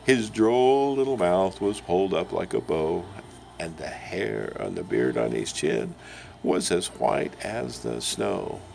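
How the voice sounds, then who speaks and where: A jolly old Father Christmas acted out by a man recites a storybook poem with theatrical relish. The delivery is slow and swoops widely in pitch, lingering on phrases. Faint noise sits behind the voice. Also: sing-song, gravelly, darkish tone, heavily acted. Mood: merry. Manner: dramatic, savoring phrases with long pauses.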